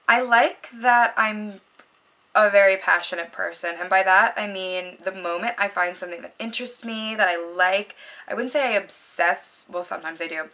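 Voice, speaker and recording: A young woman chatting casually to the camera in a home vlog, rambling with lots of likes and ums in a low voice. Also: bright, forceful tone. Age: young adult. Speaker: beauty vlogger.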